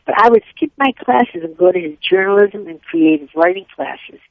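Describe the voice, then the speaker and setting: A woman's voice: medium pitch, big pitch swings, gravelly, dry recording. An older woman, a Yiddish-speaking author, chatting upbeat and rambling as an interview guest. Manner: upbeat, rambling, quick tangents. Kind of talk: casual interview chat. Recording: tinny phone line.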